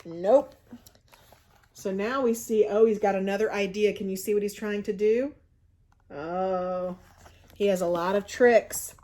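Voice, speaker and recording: A woman reading a storybook aloud to children in an expressive, teacherly way, pausing to explain and ask questions. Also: mid-range voice. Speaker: school media coordinator reading to children.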